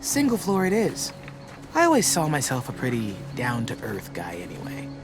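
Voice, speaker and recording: A man's voice: medium pitch, wildly swooping pitch, normal speed. A man playing a wisecracking, dramatic teenage boy survivor in a video game, with an American accent and a playfully theatrical delivery. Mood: excited, joking. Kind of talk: video game voice acting, casual dialogue. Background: background music.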